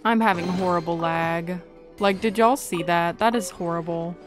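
A woman's voice: lowish voice, normal speed. A young woman giving casual, informal playthrough commentary, chattily thinking aloud as she plays. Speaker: gaming video creator. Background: game music.

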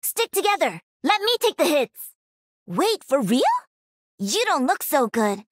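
An actress playing a cocky, playful young pro-gamer mech pilot in a video game, with peppy, punchy delivery. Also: female voice, high voice, very animated, measured pace, no echo, clean studio sound. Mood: playful, teasing. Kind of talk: video game character voice lines.

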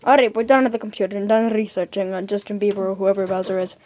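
A woman's voice: medium pitch, flat delivery, fast, noisy background, voice pushed down, close to an everyday voice. A woman playing a bossy, sarcastic, easily exasperated video game hero in an improvised amateur skit. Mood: impatient, bossy.

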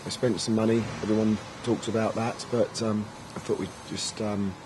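Man, 30s, football manager, post-match answers, measured and filler-heavy, recorders outdoors, light street noise. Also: on the low side, flat delivery. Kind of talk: post-match press interview. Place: outdoor press area by a sponsor backdrop. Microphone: handheld recorders held close.

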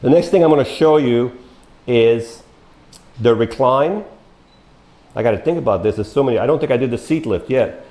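An older man walks viewers through a product demo in a plain, unhurried way, calling them folks as he goes. His voice is mellow and dark, worn thin from a long day of talking. The big bare room gives it a noticeable echo. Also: mid-range voice. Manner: folksy, addresses viewers as folks, explains step by step. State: losing his voice, coughing. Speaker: mobility dealer demonstrating a wheelchair. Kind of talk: product demonstration talk. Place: large bare showroom studio, indoors. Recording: echoey room.

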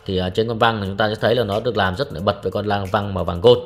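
A young man reviewing a watch in brisk salesman patter, addressing viewers as friends. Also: on the low side, fairly even intonation. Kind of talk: product review with close-up narration.

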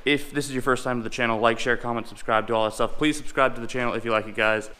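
A man's voice: mid-range voice, fairly even intonation, fast, sharp tone, clean tone. A young man talking informally as he hosts a hands-on how-to vlog, explaining each step. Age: young adult. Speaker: host of an off-road install channel. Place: home garage, working around a vehicle. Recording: noisy garage action-camera audio with clicks.

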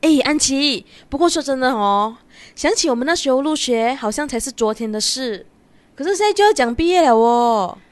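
A woman's voice: high-pitched, animated, normal speed, dry recording. A young woman with a Malaysian Chinese accent playing a bubbly, coy, teasing university student in a radio drama. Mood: playful, teasing. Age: young adult. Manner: coy, teasing, particle-heavy colloquial speech. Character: bubbly, playful 23-year-old female university student in love.

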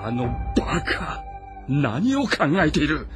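An actor playing a proud, embittered ageing warlord with haughty, brooding lordly speech in an audio drama. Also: male voice, on the high side, very animated, slow pace. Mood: bitter anger. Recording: music behind the voice.

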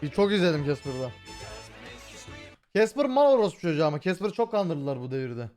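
A man's voice: fairly high pitch, very animated, a little fast, a little mellow. A man chatting casually while reacting to cartoons, in a slangy, blunt, sweary way. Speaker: streamer reacting to old cartoons. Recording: music playing behind the voice. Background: cartoon theme music.

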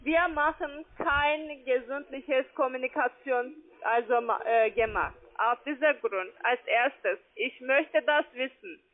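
High-voiced foreign woman on a phone line. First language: not German. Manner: halting, ungrammatical German. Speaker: call-centre trading account agent. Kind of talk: phone sales call. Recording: narrow tinny phone line.

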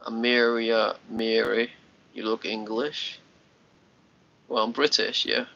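A man's voice: fairly low pitch, level tone, slow pace, vocal fry, pushed and bright, dull audio, a little hiss or hum. Congested Scottish man on a phone livestream. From Scotland. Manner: rambling, restarts words, chats to commenters. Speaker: livestream host. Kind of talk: casual live chat with viewers. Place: small bedroom, lying on a sofa. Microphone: phone held at arm's length.

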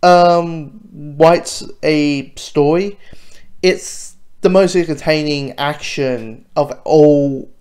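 A man's voice: on the high side, fairly even intonation, very slow, darkish tone. A man reviewing cartoons in a casual, enthusiastic, rambling way, often interrupting himself.

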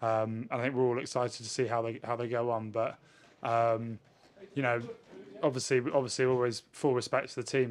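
A man, a rugby player answering questions in a post-match interview in a measured way. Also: mid-range voice. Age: 20s. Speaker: professional rugby player, winger. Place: indoors in front of a sponsor backdrop. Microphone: handheld interview microphone held at chest height.

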